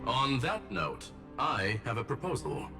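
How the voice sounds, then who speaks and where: A man voicing a formal, composed gentleman robot in a video game, precise and polite in his wording. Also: medium pitch, sing-song, a little slow, music in the background. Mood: composed, courteous. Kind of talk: English game voice acting.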